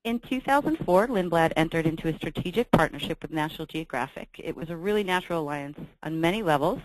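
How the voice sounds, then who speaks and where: A woman's voice: low voice, animated, slight background noise, low-quality recording. Upbeat woman hosting a travel webinar. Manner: reads from slides, brisk and upbeat with filler ums. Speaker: webinar host for a travel company. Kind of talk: scripted sales presentation.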